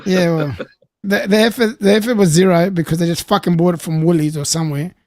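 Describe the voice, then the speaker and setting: An Australian man in his fifties hosting a casual group chat, blunt, sweary and quick to mock himself. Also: high voice, no echo. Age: 50s. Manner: blunt, sweary, self-mocking. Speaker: host.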